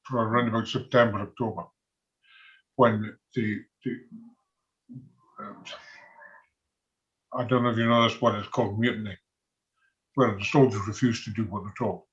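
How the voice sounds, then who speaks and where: Halting older Scottish man, dull audio. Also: on the low side, steady tone. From Scotland. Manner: halting, conversational, chuckles often. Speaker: military historian and former soldier. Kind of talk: informal talk on military history.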